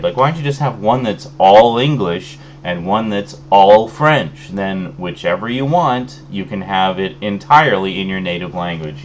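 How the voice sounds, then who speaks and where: A man with a very low voice talks loosely through an unboxing, full of ums and trailing restarts. He sounds a little phlegmy from an oncoming cold. A faint hum sits under the recording. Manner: relaxed, rambling, many fillers. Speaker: video host. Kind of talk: casual unboxing chat.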